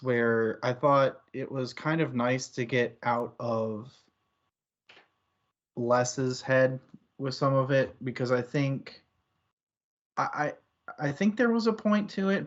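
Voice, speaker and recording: A man discussing a book casually as a host, thinking aloud in a halting, thoughtful way and rephrasing as he goes. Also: mid-range voice, fairly bright tone, highs cut off.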